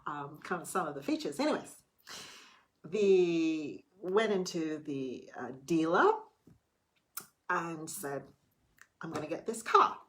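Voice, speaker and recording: Candid chatty woman, rather low voice. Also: animated, takes it slowly, long pauses, a bit bright. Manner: theatrical greeting, then candid and chatty. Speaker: vlogger. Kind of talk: casual late-night vlog monologue.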